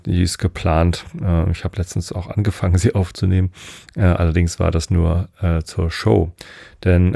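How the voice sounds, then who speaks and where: A man's voice: low-pitched, creaky, dark tone, no room sound, studio quality. A middle-aged man from Northern Germany hosting a sleep podcast, meandering through his thoughts with many fillers and digressions. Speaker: podcast host. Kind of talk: rambling sleep podcast monologue.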